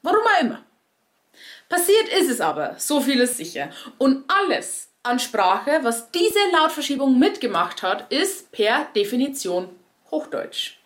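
A young woman with a Bavarian accent and a fairly high voice. She delivers scripted commentary like the stage performer she is, ironic and lively. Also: unhurried.

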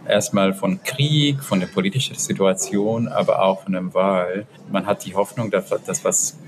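A man's voice: deep voice, fairly even intonation, normal speed. A man from Israel, an interview guest, answering earnestly and searching for words in a language that is not his first. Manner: earnest, searching for words in non-native German. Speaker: interview guest, group organizer. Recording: noisy background.

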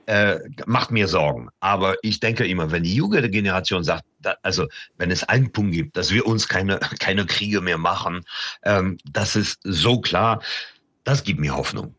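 Deep husky-voiced man from France. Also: moderate pace, pushed and bright, no room sound. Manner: hesitant, many fillers and restarts. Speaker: interview guest. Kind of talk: radio interview.

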